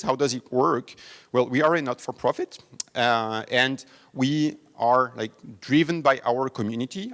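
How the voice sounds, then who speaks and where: A man with a French accent addresses a workshop audience in a conference room. His voice sits at a middle pitch. His delivery is loose and unscripted, full of fillers and self-corrections as he opens the day. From France. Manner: halting, many fillers and restarts. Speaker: conference host and foundation speaker. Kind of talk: opening talk at a tech workshop.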